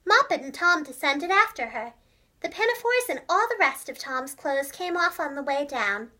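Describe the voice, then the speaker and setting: A woman telling a children's storybook tale expressively and clearly, as if to young children. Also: high voice, sing-song, normal speed, pushed and bright. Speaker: storyteller, preschool teacher and voice actress. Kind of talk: animated children's storybook narration.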